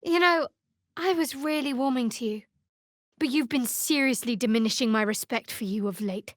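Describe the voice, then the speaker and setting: An actress playing a guarded, devout young woman cleric in a video game, with a wry, measured delivery. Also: fairly high pitch, no echo, clean studio sound, natural voice. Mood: stern. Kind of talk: video game character voice acting.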